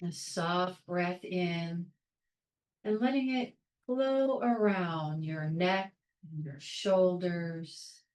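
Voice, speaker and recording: A woman leading a guided meditation in a calm, soothing, measured way, leaving long pauses between short phrases. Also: fairly low pitch, echoey room. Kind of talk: guided meditation, soft instruction.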